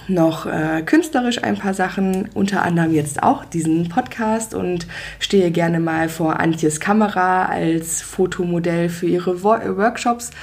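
A woman in her thirties hosting a personal podcast, talking in a relaxed way with hesitant fillers. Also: on the low side. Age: 30s. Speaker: podcast host.

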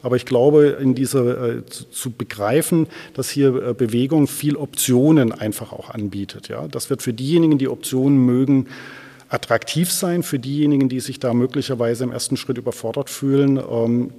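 A man's voice: medium pitch, brisk, gravelly, dark tone, breathy edge. A man giving discursive expert explanations as an interview guest, often adding little tag questions. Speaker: interview guest, transformation researcher. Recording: long hall reverb.

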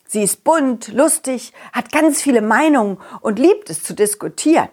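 A woman voicing a confident, loyal dog who tells an adventure to children in an expressive, chatty way. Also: a little high, moderate pace, husky. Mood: thoughtful, eager. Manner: animated, expressive, addresses children directly. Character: confident, loyal, friendly talking dog telling his adventure. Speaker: puppeteer storyteller. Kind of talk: children's audio story, narrating to kids.

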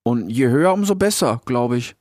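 A man's voice: mid-range voice, expressive, moderate pace, a little mellow, dry recording, clean studio sound, voice pushed up, natural voice. A man voicing an eager, nervous young male fox who talks hesitantly. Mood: earnest.

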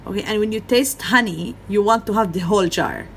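A woman's voice: mid-range voice, fairly quick, slight background noise. A young woman from Gaza, speaking in earnest over a video call with repeated phrases and "you know". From Gaza, Palestine. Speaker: interviewee. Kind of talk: earnest personal testimony.

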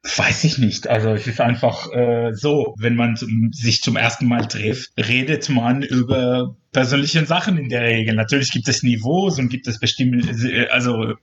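A man's voice: medium pitch, steady tone, moderate pace, hardly any pauses. Man talking casually, slightly dull sound. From Israel. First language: Hebrew. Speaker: interview guest, journalist. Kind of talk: casual personal conversation.

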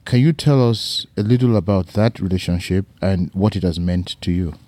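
A man hosting a radio interview, introducing his guest and asking questions in a formal, unhurried way. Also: sits low, fairly even intonation, mellow, no room sound. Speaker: radio show host.

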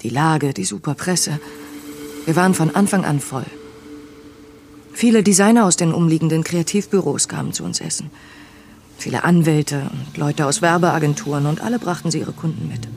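A woman playing a weary waitress recounting her past in measured, bitter tones in a German audio drama. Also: deep voice, creaky, darkish tone, a little hiss or hum. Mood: composed, bitter. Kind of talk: audio drama narration and dialogue.

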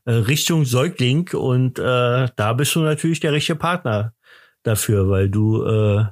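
A man's voice: mid-range voice, unhurried. A man in his fifties from eastern Germany hosting a podcast, chatting in a casual, rambling, jokey way and poking fun at himself. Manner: jokey, self-deprecating. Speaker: podcast host.

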